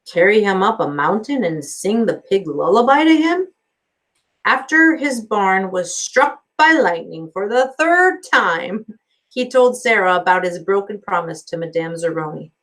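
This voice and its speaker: A woman reads a story aloud as a teacher would for a class. Her delivery is expressive narration at a medium pitch, with clear shaping of each phrase. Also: measured pace, rough recording. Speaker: teacher reading a book aloud. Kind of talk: expressive audiobook-style story reading.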